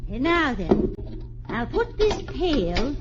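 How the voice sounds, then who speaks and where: An actress playing a folksy, bossy 1840s housewife and inventor in an old radio drama, old-fashioned in manner. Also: female voice, sits high, sing-song, takes it slowly, over loud music, exaggerated voice. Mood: coaxing. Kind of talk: 1940s radio acting. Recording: dull old radio broadcast.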